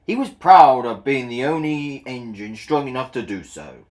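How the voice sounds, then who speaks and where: A woman's voice: very deep voice, lively intonation, moderate pace, a little mellow. A woman reading a children's story aloud as a scripted narration.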